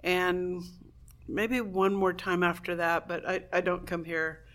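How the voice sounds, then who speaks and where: A woman in a casual conversation, animated and laughing often, with a lot of fillers as she talks. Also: lowish voice, measured pace. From Cuban family in Southern California. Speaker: guest, blogger. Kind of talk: casual chat.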